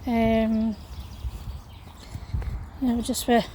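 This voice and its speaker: Slow, croaky Scottish woman on a walk. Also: on the high side, flat delivery, mellow, slight background noise. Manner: hesitant, many fillers and restarts. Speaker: vlogger. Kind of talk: walking vlog chat. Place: outdoors on a path in a small town.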